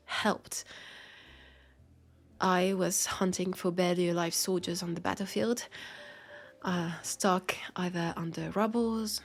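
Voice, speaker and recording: Actress for an immortal female vampire cop: French accent, guarded and world-weary, gravelly hushed voice, unhurried confessional audio roleplay. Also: lowish voice, exaggerated voice. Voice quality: creaky, breathy. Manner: halting, hesitant, confiding, slipping into whispers. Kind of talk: intimate roleplay audio drama monologue.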